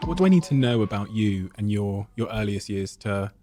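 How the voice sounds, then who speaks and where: A man's voice: on the low side, animated, brisk, over loud music. A man speaking as a podcast host, addressing his subscribers directly.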